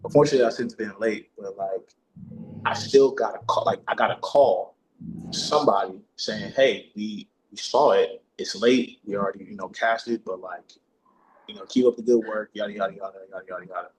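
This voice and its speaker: A man's voice: on the low side, brisk, slight background noise, poor audio. A man talking casually as an actor being interviewed, with slangy, sweary, bro-heavy phrasing. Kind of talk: casual chat.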